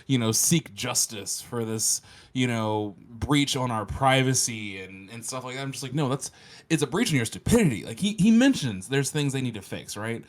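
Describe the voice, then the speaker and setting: Blunt, sardonic man with mid-pitched voice. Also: lively intonation, moderate pace. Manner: blunt, sardonic, emphatic repetition. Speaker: co-host. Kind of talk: casual tech banter.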